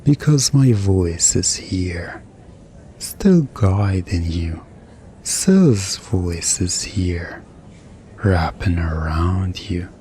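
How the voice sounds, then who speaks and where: A man performing a hypnosis ASMR roleplay as a hypnotist, speaking in a soothing, deliberate, hypnotic way with pauses. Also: low-pitched, expressive, mellow, slight background noise.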